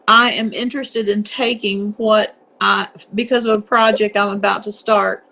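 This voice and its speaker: A woman's voice: medium pitch, a bit bright, rough recording. Earnest woman student on a video call. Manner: thoughtful, earnest. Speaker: class participant. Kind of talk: casual discussion.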